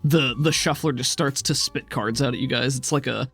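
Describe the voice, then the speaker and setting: A man's voice: medium pitch, normal speed, a bit bright, husky, faint music behind. A man running a tabletop role-playing game, narrating and ruling in an easygoing, encouraging, conversational way. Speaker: game master.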